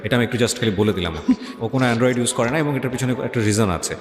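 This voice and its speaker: A man's voice: mid-range voice, fast talker. A man with a Bangladeshi accent hosting a conversational radio interview, mixing English phrases into his talk. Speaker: radio show host. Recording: echoey with long reverb, music behind.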